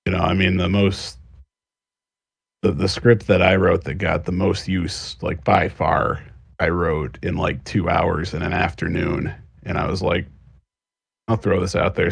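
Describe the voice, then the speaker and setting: A man's voice: low voice, vocal fry, husky, no echo. A man chatting casually as a podcast guest, giving easygoing advice as a script writer.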